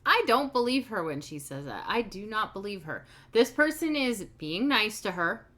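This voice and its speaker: Bright-voiced, blunt sarcastic woman. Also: mid-range voice, sing-song, normal speed. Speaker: reaction video host. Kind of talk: commentary over paused clips.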